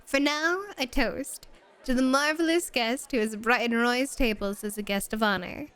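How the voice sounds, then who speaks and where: Woman acting a flirty, dominant bunny-club hostess, slightly high. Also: moderate pace, fairly bright tone, smooth, natural voice. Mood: relaxed, gracious. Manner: teasing, self-assured, courteous to guests. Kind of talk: ASMR roleplay acting.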